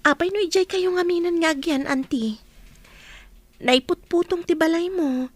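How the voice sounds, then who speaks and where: A woman's voice: extremely high pitch, normal speed, dry recording. A woman playing a caring young village health worker in a radio drama. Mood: friendly, wistful.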